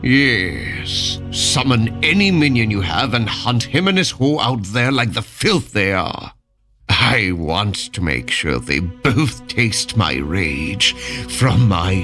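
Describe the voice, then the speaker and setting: An actor playing a vengeful tyrant king returned from death, cold and menacing, in a dark fantasy audio drama, brooding and grandiose. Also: low-pitched, gravelly, exaggerated voice. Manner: slow, brooding, grandiose pronouncements. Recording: music under the voice. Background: dramatic music.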